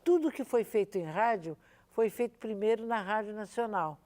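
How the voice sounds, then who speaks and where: A woman's voice: mid-range voice, lively intonation, normal speed, warm tone. An older woman, a radio drama veteran, reminiscing emphatically and seeking agreement. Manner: emphatic, repeats points, seeks agreement. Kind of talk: reminiscing in conversation.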